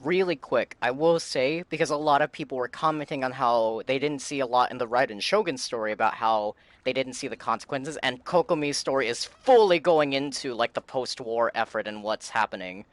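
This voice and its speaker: Male streamer, medium-pitched, informal live game commentary, dry close-mic sound. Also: fairly quick, hardly any pauses, no echo.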